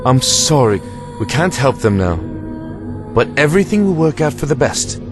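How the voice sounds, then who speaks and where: A man's voice: medium pitch, very animated, normal speed, close to an everyday voice. Guy as a carefree reassuring time traveller, bouncy intonation, audio drama w music under it. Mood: calm, reassuring.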